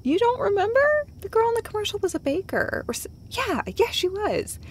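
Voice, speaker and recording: A woman playing an affectionate, playful girlfriend in an intimate audio roleplay, speaking casually and tenderly, often breathy and close to a whisper. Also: very high-pitched, very animated, brisk, a little mellow, full character voice. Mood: chatty, animated. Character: affectionate, playful, chatty girlfriend comforting her partner.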